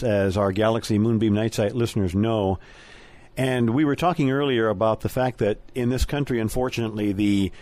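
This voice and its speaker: A middle-aged or older man co-hosting a radio talk show, explaining things in a casual, plain-spoken, measured way. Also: medium pitch, a little mellow.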